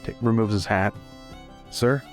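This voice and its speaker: A man's voice: medium pitch, steady tone, moderate pace, darkish tone. A man playing a tabletop game, describing scenes in improvised collaborative storytelling. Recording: faint music behind.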